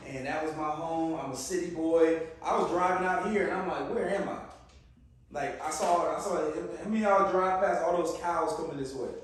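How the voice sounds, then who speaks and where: A man's voice: fairly high pitch, moderate pace. A man in his forties from Detroit, giving a casual, slangy talk to a youth group and calling on the crowd. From Detroit, Michigan. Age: 40s. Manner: conversational, calls on the crowd, slangy. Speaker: youth speaker. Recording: echoey room, distant mic.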